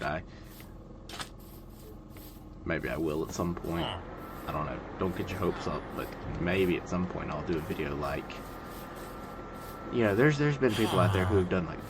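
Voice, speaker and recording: A man in his twenties giving calm, monotone, rambling gameplay commentary, full of fillers. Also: sits low, normal speed, long pauses. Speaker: gaming video creator. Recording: loud background noise.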